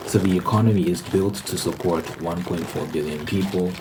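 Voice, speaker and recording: Male ASMR host, very low voice, halting explanatory delivery with repeated phrases, noticeable background noise. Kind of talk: ASMR ramble, explanatory monologue.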